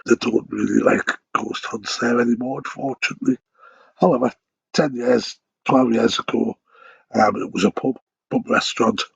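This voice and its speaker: A raspy, gravelly man talks through a head cold, sounding croaky and nasal. He chats loosely, with ums and false starts. The recording is dry and a little dull. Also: mid-range voice, animated, normal speed, no echo. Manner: chatty, conversational, with ums and restarts. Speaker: podcast host and paranormal investigator. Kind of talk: solo podcast monologue. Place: at home in bed.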